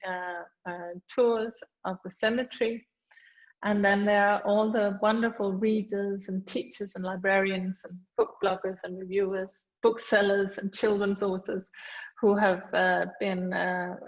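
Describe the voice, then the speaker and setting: A woman author with a Danish accent, reading from her book and then thanking people at an online launch, hesitant with many fillers. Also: medium pitch. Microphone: video call. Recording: dull lo-fi video call audio.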